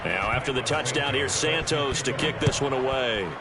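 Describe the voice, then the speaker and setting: A man playing an excitable American play-by-play football announcer in a video game, with a polished, dramatic broadcast delivery. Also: medium pitch, moderate pace, lightly acted. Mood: steady, focused. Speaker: play-by-play commentator. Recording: game audio under loud stadium noise. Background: stadium noise from a football video game.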